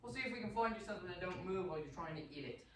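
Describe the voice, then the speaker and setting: A woman's voice: fairly low pitch, animated, racing, far from the mic, close to an everyday voice. A woman playing a brash, sardonic asylum attendant in a radio play, wry yet deferential to superiors. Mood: brisk, bossy. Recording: very echoey, off mic.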